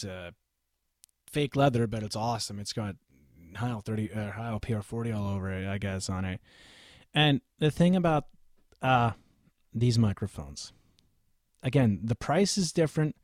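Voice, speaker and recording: A man's voice: mid-range voice, expressive, moderate pace, pauses often, darkish tone, dry recording, clean studio sound. Emphatic man lecturing about microphones. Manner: emphatic, lecturing, self-assured. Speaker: microphone reviewer. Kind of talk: opinionated gear review to camera. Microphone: studio microphone, switched during the video.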